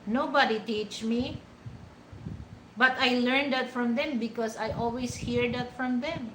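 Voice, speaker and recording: A woman's voice: on the high side, unhurried, echoey room, noisy background. A woman from the Philippines with a Filipino accent, rambling in a casual vlog with many fillers and restarts. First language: Hiligaynon. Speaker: vlogger. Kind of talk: casual talk to camera.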